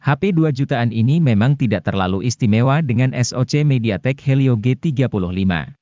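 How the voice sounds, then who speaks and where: Mellow man, dry scripted narration. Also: medium pitch, expressive, fairly quick, no room sound, lo-fi sound. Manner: formal, informative. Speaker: narrator of gadget review videos.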